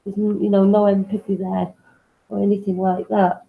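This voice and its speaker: A woman co-hosting a casual talk show, sniffly and congested from a cold, speaking thoughtfully with pauses and fillers. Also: medium pitch, little pitch movement, creaky, phone-quality sound. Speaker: co-host.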